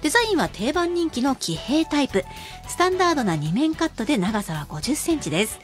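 A woman with a high, very lively voice delivers a radio shopping pitch. She is emphatic and spells out key figures carefully. Music plays clearly behind her. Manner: emphatic, slowing to spell out prices and numbers. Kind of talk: live radio sales pitch. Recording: music bed under the voice.

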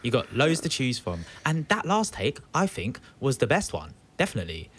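A man's voice: medium pitch, huge pitch swings, normal speed, a bit bright, husky, heavily acted. An actor playing a weary young man, placating and dryly sarcastic, in a naturalistic audio drama. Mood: reassuring, exasperated. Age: young adult. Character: weary, reassuring young flatmate with dry sarcasm.

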